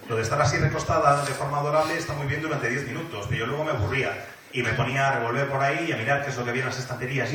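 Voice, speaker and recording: A man with an accent from Spain, interpreting a guest's anecdotes aloud in a colloquial, expressive first-person retelling. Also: medium pitch. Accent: Spanish, from Spain. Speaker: consecutive interpreter. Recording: very echoey hall, distant, faint noise.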